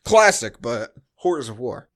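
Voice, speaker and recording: A man's voice: mid-range voice, big pitch swings, a little slow. A man hosting a podcast, recapping a movie in casual chat with dry, sarcastic asides. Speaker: podcast host.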